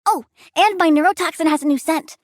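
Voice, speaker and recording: A woman's voice: extremely high pitch, a little fast, no echo, clean studio sound. A woman playing a precocious young girl plant scientist and budding supervillain in a video game, chirpy and smug with childlike know-it-all menace and teenage sarcasm. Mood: bubbly, excited. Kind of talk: video game character voice lines.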